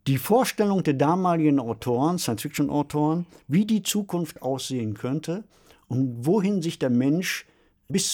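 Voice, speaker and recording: A man's voice: fairly high pitch, darkish tone. A man in his sixties presenting a podcast conversationally, explaining and recounting things like a storyteller. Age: 60s. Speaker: podcast co-host; science fiction author.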